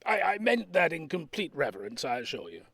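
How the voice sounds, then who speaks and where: An actor playing a brisk, self-important retired soldier in an audio comedy, with a husky, emphatic delivery. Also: male voice, on the high side, sing-song, a bit bright. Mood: taken aback. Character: elderly ex-army man, self-important, brisk, grumbling planner. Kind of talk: audio comedy drama acting.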